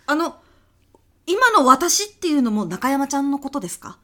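A woman acts out a female business client with a fairly high voice. She is courteous and hesitant, with a bemused deadpan touch suited to a comedic radio drama. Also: moderate pace, exaggerated voice. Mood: polite, bemused.

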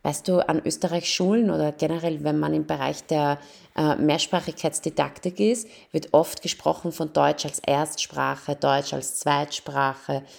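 A woman's voice: low voice, steady tone, measured pace, gravelly, darkish tone. A woman, interviewing a guest and explaining ideas about language teaching. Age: 30s. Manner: explanatory, lecturing on multilingual teaching. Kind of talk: casual interview.

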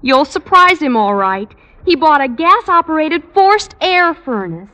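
Earnest, high-voiced teenage girl. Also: big pitch swings, unhurried. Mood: worried. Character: earnest, urgent teenage schoolgirl, principal's daughter. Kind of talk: 1950s radio comedy acting. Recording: muffled, noisy old radio recording.

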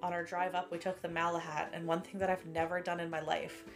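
A woman's voice: on the low side, little pitch movement, fast, faint music behind. A Canadian woman in her late twenties, co-hosting a podcast in a casual, rambling chat, with a stuffy, sniffly nose. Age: late 20s. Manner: chatty, rambling, laughs often. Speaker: podcast co-host.

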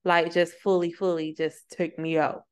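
Give me a woman with a smooth, clear voice talking frankly on a podcast. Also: lowish voice, flat delivery. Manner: candid, conversational, quick reactions. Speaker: podcast co-host. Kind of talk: casual podcast chat.

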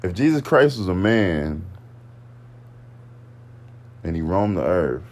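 Very deep-voiced laid-back man. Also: sing-song, slow, a little mellow. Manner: laid-back, terse, under-explaining. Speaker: podcast co-host. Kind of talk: casual chat.

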